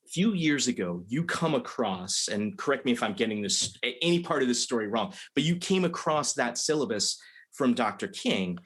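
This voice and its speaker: A man with a faint Philadelphia accent and a somewhat raspy, medium-pitched voice moderates an academic conversation. His intonation is very lively, and he leans emphatically on key phrases, often repeating them. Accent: slight Philadelphia American. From Philadelphia, United States. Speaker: interviewer, academic dean and professor.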